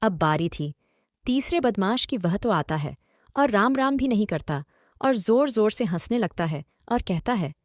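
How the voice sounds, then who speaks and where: Lively woman storyteller. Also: mid-range voice, unhurried, no echo, studio quality. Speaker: storyteller and channel host. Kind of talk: scripted story narration for a general audience.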